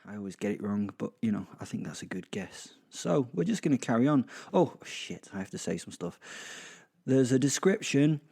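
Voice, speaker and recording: A man's voice: medium pitch, very animated, moderate pace, gravelly, mellow, husky, full character voice. A man playing a chatty, irreverent werewolf who narrates a comic horror audio drama in rambling, self-interrupting asides. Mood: sheepish, rambling. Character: irreverent, chatty, starstruck male werewolf killer. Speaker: narrator and host. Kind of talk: first-person comic horror monologue. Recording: music behind the voice.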